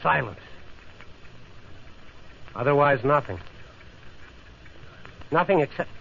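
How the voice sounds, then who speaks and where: A man's voice: on the high side, lively intonation, vocal fry, slightly rough, exaggerated voice. An actor playing a brash test pilot alone in his rocket ship in a 1950s radio drama, with dramatic, clipped delivery. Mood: awed, hushed. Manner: dramatic monologue, clipped radio calls and hushed pauses. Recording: dull, hissy 1950 radio recording.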